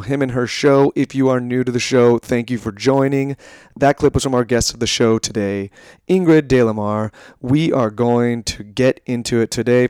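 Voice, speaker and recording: A man speaking casually as a podcast co-host, introducing the show and chatting, with a slightly hoarse edge. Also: mid-range voice, darkish tone, no room sound, clean studio sound. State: a little bit hoarse.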